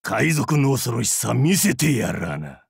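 An actor playing a boisterous, crude and goofy male pirate captain in a game, with a gravelly, husky voice and a hammy, theatrical, jokey way of talking. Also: medium pitch, sing-song, measured pace, no echo, studio quality, heavily acted. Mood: hammy battle cries. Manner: hammy, theatrical, jokey otaku-style speech. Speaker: game character voice. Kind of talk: voiced game character lines.

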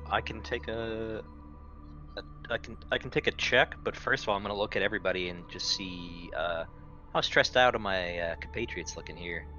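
A man's voice: fairly low pitch, brisk, sharp tone, highs cut off, faint music behind. A man talking casually and wryly as a player in a tabletop game. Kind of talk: casual chat.

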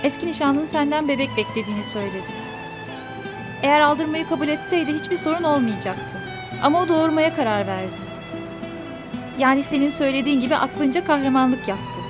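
An actress playing a young servant's daughter who is hurt but firm, speaking quietly and reproachfully in a TV drama. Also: female voice, high-pitched, animated, brisk. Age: young adult. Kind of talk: TV drama acting. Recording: TV drama with music score.

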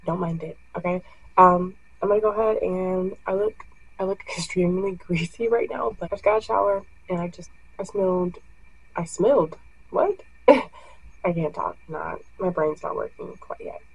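A woman's voice: lowish voice, moderate pace, gravelly, breathy edge, low-quality recording. Chatty young woman vlogging at home. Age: young adult. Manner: chatty, rambling, many fillers. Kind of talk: casual vlog talk to camera. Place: bedroom at home. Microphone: webcam or phone camera close up.

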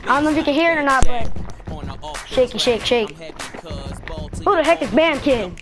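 A young gamer with a high-pitched voice chats casually on a livestream. The talk is slangy and rambling, with faint music playing behind it. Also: sing-song, moderate pace. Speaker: gaming streamer. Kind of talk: casual gameplay stream chat.

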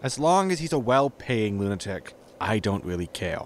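An actor playing a grumpy, money-minded spaceship captain, impatient and sardonic, in a sci-fi comedy audio drama. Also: male voice, on the low side, big pitch swings, moderate pace, slight background noise. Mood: indifferent.

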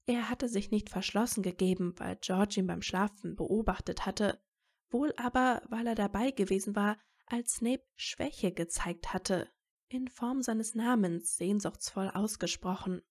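A woman's voice: medium pitch, a little slow, darkish tone. A woman reading scripted narration for a fan fiction audio story.

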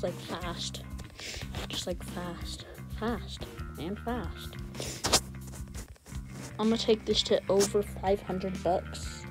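A gamer giving casual, slangy gameplay commentary and often repeating words and phrases. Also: medium pitch. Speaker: gaming video host.

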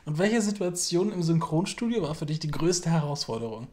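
A man's voice: on the high side, lively intonation, fairly quick, a little mellow. A man, asking questions as a casual interviewer. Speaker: interviewer, host. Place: small room indoors. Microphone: handheld recorder held at chest height.

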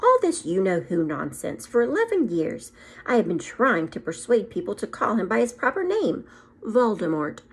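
A woman voicing a calm, whimsical old male wizard in a storybook, with a gentle, unhurried manner. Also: medium pitch, breathy edge, voice pushed up, full character voice.

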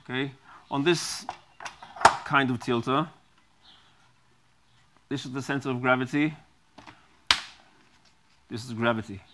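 A middle-aged man walks through a product demonstration at an unhurried, deliberate pace, pausing often with ums and restarting his phrases. His pitch sits in an ordinary middle range. He is recorded close on a lapel mic in a small studio. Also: fairly even intonation, bright, forceful tone, slight background noise. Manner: slow, halting, many ums and restarts.

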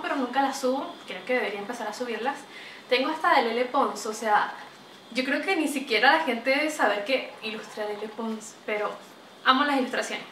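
A young woman from Venezuela with a Venezuelan accent, chatting to camera in a lively, talkative way, recorded in a small bright room. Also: sits high, recorded from a distance, a little hiss or hum. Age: young adult. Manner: chatty, animated, gestures a lot. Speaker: vlogger. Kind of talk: casual chat to camera. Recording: roomy echo, voice a bit off-mic.

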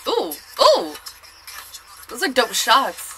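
Lively, high-pitched congested young woman. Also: slow, faint music behind, low-quality recording. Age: young adult. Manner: informal, slangy, rambling. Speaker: reaction video vlogger. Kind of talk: casual chat, excited music video reaction. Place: small bedroom with bunk bed, indoors.

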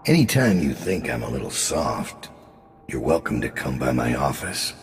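A gravelly, very deep master assassin. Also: male voice, very animated, big-room sound, a little hiss or hum, heavily acted. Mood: dry, commanding. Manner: grim, measured, dryly threatening. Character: gravelly, world-weary, menacing master assassin leading a gang. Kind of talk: video game character acting.

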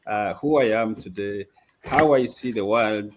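A man from Uganda, a mental health advocate, speaking haltingly with many fillers over a headset on a video call. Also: fairly low pitch, noisy background. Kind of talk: panel discussion.

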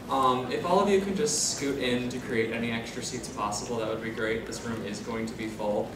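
A man's voice: mid-range voice, brisk. Apologetic male moderator in a noisy echoey room. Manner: polite, apologetic. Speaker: panel moderator. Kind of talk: announcement to a convention audience. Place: convention panel room on handheld PA mic, filmed from afar. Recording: echoey room, far mic, loud noise.

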